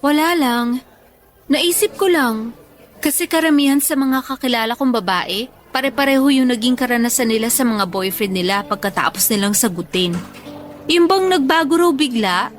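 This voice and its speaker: A woman playing a tired, affectionate young schoolteacher in a Filipino radio love drama, speaking softly and earnestly. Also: high-pitched, lively intonation, normal speed, soft background music. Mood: shy, earnest.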